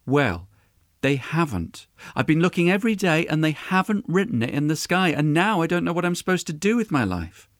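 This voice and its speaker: A man's voice: fairly high pitch, very animated, fairly quick, no room sound, clean studio sound. A man voicing an earnest, wounded young woman who speaks reproachfully and emphatically to her father in an oral folk tale. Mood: troubled, questioning. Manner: accusing, emphatic, incredulous.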